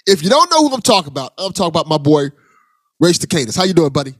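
A male podcast host with a fairly high voice and very animated intonation. He chats casually and teases with affection, in a close, dry recording. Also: racing, dry recording. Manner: bantering, affectionate with guest. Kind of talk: casual interview chat.

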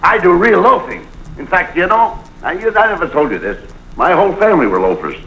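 An actor playing a smug, illogical idler with a gravelly, sharp voice in old radio comedy crosstalk. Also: male voice, sits high, very animated, fairly quick. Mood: smug, matter-of-fact. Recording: noisy vintage radio recording.

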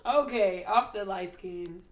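A woman's voice: medium pitch, slow pace. A woman co-hosting a podcast in casual, informal banter.

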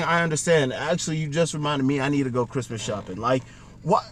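A man co-hosting a casual podcast from a car, rambling and joking at himself, with his voice going hoarse. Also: on the high side. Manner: rambling, self-mocking, laughs often. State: losing his voice. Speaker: co-host.

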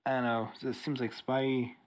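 A man's voice: mid-range voice, little pitch movement, moderate pace. A man co-hosting a casual podcast, recapping a comic book with dry jokes. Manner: laid-back, recaps plot with dry jokes. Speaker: podcast co-host.

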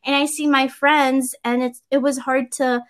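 A woman's voice: high voice, flat delivery, moderate pace, a bit bright. A woman from the Philippines in casual conversation, agreeing often and rambling as she reflects on fitting in. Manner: agreeable, frequent backchannels, rambling. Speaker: conversation partner.

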